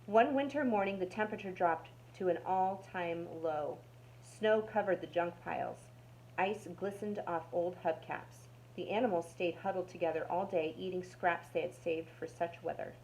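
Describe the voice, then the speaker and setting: A woman's voice: fairly low pitch, moderate pace, a little mellow, slight background noise, poor audio. A woman narrating a children's storybook aloud as a storytime reader.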